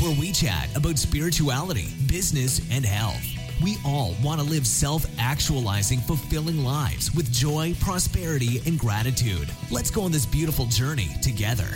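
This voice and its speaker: A man reading a scripted podcast intro in an upbeat, welcoming way. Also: medium pitch. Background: guitar music.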